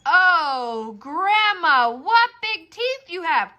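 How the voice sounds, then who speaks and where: Woman as a little storybook girl, high and bright, innocent, trusting, sweet singsong delivery. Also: very animated, drawn-out words, full character voice. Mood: puzzled wonder.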